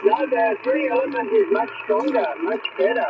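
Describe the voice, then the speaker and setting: Noisy radio voice, very high-pitched man. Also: wildly swooping pitch, normal speed. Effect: voice heard over shortwave radio. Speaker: amateur radio operator. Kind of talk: amateur radio contact, casual exchange. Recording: dull, noisy shortwave radio reception.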